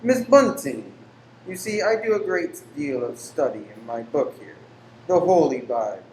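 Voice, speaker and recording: Man acting weary, pious gentleman lodger, roomy echo. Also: a little high, sing-song, normal speed. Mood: pious, solemn. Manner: formal, exacting, abrupt then apologetic. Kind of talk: radio play acting. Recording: roomy echo with faint background noise.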